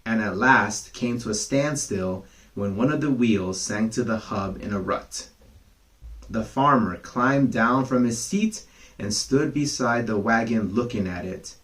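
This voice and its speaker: A man reading bedtime fables aloud for children, gentle and chatty, with casual asides to his young listeners. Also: fairly low pitch. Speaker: storyteller.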